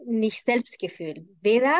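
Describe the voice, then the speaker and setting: A hesitant woman whose first language is Spanish, searching for words with lots of pauses and restarts. The audio is dull and lo-fi, with a completely dry sound. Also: a little high, expressive, no room sound. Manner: hesitant, many fillers and restarts, fading at the ends. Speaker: participant. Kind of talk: casual group sharing.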